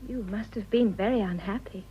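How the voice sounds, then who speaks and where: An actress playing a sympathetic, composed young wife in a British radio drama, polite and direct in manner. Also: female voice, medium pitch, a little fast, lo-fi sound, slight background noise, natural voice. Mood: sympathetic, curious.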